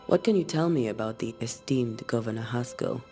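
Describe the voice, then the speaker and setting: A man's voice: mid-range voice, fairly quick, dark tone, soft background music, lightly acted. An actor playing an earnest, courteous ghost hunter of colonial times in a video game, direct in his questioning. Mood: inquiring. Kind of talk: dramatic video game acting.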